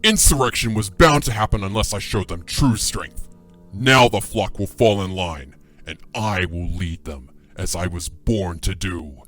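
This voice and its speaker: A man's voice: deep voice, measured pace, faint music behind. A man playing a power-hungry young male dragon in an audio drama, wounded and enraged, with a theatrical delivery. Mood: cold, resolute. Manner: theatrical, swinging from shouts to menacing murmurs.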